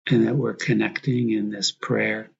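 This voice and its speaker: Male priest for guided meditation: gravelly, husky, reflective, short prayerful phrases, slightly dull recording. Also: on the low side, little pitch movement, unhurried.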